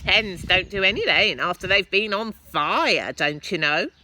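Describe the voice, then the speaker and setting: A woman's voice: medium pitch, animated, unhurried, pushed and bright, slight background noise, pitched above the performer's own voice, rough recording. A woman voicing a bossy, crotchety old grandmother from a children's storybook, scolding and boastful. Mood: scornful. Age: older. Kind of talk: animated children's storybook character voice.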